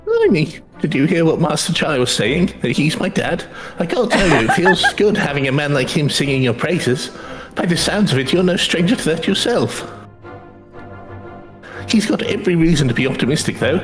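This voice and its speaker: An eager young British lad in a video game, high-voiced and very animated. He chatters in cheeky, colloquial speech, brimming with enthusiasm, over a light music bed. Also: normal speed. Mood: proud, chatty. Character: eager, cheeky young man, excitable hired helper.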